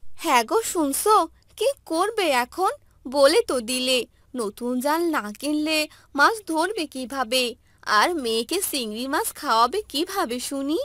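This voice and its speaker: A woman's voice: high-pitched, animated, unhurried, a bit bright, no echo. A woman voicing a poor village mother who talks in a coaxing, nagging way.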